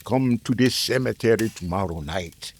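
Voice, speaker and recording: A man's voice: lowish voice, moderate pace. A man playing a sinister, calculating scientist in an old-time radio drama, with formal, clipped phrasing. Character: sinister, calculating male scientist selling macabre services.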